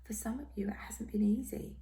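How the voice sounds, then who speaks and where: A hoarse, croaky woman giving a tarot reading in a soft, half-whispered, reassuring way. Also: a little high, fairly even intonation, brisk. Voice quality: croaky, throat-clearing. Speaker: tarot reader. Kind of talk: casual tarot reading monologue.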